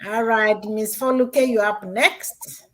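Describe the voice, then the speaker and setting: Brief: woman host on a video-call stream, a touch high, unhurried, welcoming. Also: fairly even intonation, slight background noise. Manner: warm, welcoming and upbeat, repeats thank-yous. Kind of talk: live-stream panel chat.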